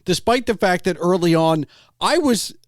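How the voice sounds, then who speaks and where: Man, fairly high voice, dry recording, podcast host, casual chat, halting, restarts phrases. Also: expressive, no room sound. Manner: halting, repeats phrase openings while thinking aloud. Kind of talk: casual conversational interview.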